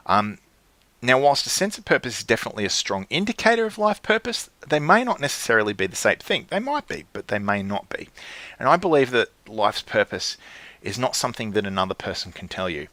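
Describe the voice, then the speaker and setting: A man coaching his clients, earnest and checking in often, with lively jumps in pitch and frequent restarts. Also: fairly quick, no echo. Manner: earnest, keeps checking in with "right?". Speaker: life coach.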